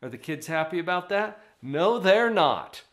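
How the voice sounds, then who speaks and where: A man giving parenting advice straight to camera in an office, with a conversational, emphatic and animated delivery. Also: sits high, unhurried. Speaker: parenting coach.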